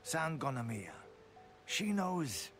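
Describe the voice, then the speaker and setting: A man voicing a sleepy, laid-back loner soldier in a video game, speaking in a drowsy, halting way. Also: fairly high pitch, big pitch swings. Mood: lazy, unbothered. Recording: loud game music behind.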